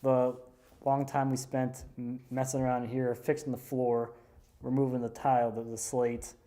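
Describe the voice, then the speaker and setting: Mellow-voiced man in easygoing chat. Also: medium pitch, flat delivery, normal speed. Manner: easygoing, joking, rambling with restarts. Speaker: woodworker and show host. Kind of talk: casual chat.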